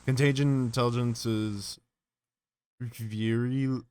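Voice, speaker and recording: Clear-voiced man musing as he streams. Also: mid-range voice, steady tone, slow pace, darkish tone, dry recording, studio quality. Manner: musing, thinking aloud. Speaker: streamer reacting to an analog horror series. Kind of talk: casual reaction commentary, reading on-screen text aloud.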